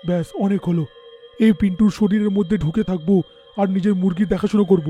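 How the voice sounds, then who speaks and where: A man voicing a righteous, protective chicken farmer in an animated horror folk tale, with an emphatic, dramatic delivery. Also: sits high, quick pace, soft background music, natural voice. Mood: resolute.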